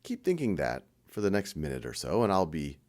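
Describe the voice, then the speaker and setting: Low-voiced man guiding a meditation. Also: wildly swooping pitch, fairly quick, darkish tone. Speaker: meditation guide. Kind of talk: guided meditation, informal and conversational.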